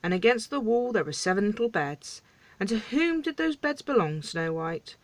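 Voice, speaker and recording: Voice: medium pitch, big pitch swings, normal speed, no echo, pitched above the performer's own voice, lightly acted. A storyteller voicing a pompous, indignant king who hosts a tabloid talk show, theatrical and emphatic. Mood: pompous, probing.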